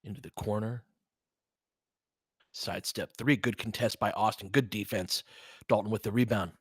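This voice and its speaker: A man's voice: lowish voice, steady tone, moderate pace, slightly rough, no room sound, clean studio sound. A man giving casual live basketball commentary as a host, chatting informally with listeners and reacting like a fan.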